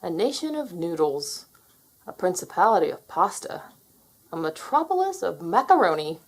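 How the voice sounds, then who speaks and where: Woman acting magic male dragon, storybook guide, wry, gently teasing, theatrical, very animated intonation. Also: fairly low pitch, normal speed, low-quality recording. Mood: playful, grand. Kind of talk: children's storybook character read aloud.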